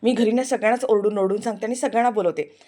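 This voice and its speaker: A woman voicing a proud, sharp-tongued young woman who scolds and taunts. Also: a little high, steady tone, rapid-fire, natural voice. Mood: scolding.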